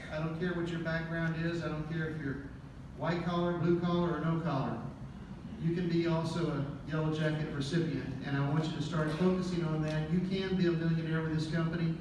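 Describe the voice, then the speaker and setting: A man's voice: on the high side, darkish tone. Rousing man speaking in an echoey hall. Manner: rousing, preacher-like delivery. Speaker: speaker at a business event. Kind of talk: motivational speech. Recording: echoey hall, distant mic, crowd noise.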